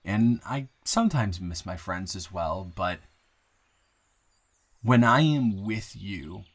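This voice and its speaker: A man plays an earnest young guy in his early twenties, smitten and new in town. His voice sits a little low. He talks straight to the listener in an intimate improvised roleplay, rambling and doubling back on his words. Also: expressive, exaggerated voice. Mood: fond, sincere. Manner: halting, rambling, with repeated words and nervous chuckles.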